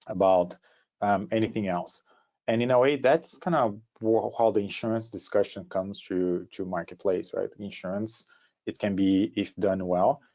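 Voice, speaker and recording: A man with a Brazilian accent presenting an informal webinar in a halting way, often repeating words as he goes. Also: low-pitched, mellow. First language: Portuguese. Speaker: startup co-founder giving a presentation. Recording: dull, lo-fi online call audio.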